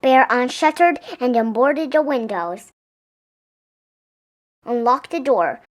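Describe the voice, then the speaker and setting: Lady with a high voice reading a kids story out loud, really expressive. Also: takes it slowly. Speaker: storybook reader. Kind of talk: expressive read-aloud for young children.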